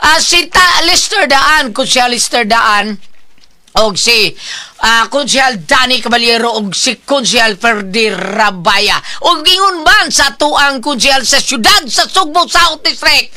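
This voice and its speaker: Cebuano lady radio host, super bright high voice, chatty greetings n plugs. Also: animated, slow pace. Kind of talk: live greetings and sponsor plugs, casual chat.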